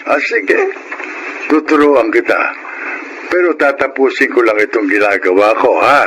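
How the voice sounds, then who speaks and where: An actor playing a kindly, gentle, patient old grandfather in a Filipino radio drama. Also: medium pitch, animated, a little slow, a little hiss or hum, low-quality recording.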